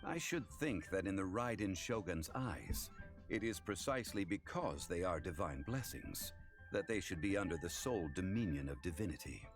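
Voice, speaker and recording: A wry ancient god, deep and measured. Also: male voice, lively intonation, music in the background. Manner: measured, formal, dryly amused. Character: ancient male god in human guise, composed, wry, scholarly. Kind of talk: game voice acting.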